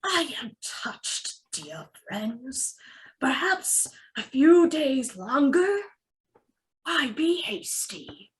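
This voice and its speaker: A woman playing an old male hobbit making a halting, theatrical speech at a script table read. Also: high voice, very animated, heavily acted, rough recording. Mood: touched, wistful.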